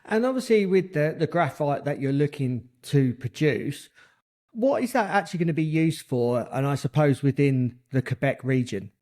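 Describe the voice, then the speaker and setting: A man's voice: on the high side, expressive, normal speed, a little mellow. A man hosting a podcast interview, asking questions in a conversational, slightly hesitant way. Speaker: podcast host.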